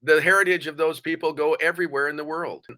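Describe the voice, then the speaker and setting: Older male guest, blunt and plain-spoken, with a bright, punchy tone. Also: on the high side, steady tone, fast. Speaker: podcast guest. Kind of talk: conversational interview.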